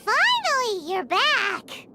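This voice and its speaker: Childlike game fairy: extremely high, animated, chatty, impatient, loud music underneath. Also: female voice, takes it slowly, vocal fry, exaggerated voice. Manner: exclamatory, refers to self in third person. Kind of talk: animated video game dialogue acting.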